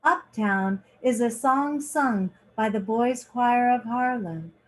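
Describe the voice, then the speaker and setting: A woman reading a children's picture book aloud in short, deliberate phrases, like a teacher. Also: fairly high pitch.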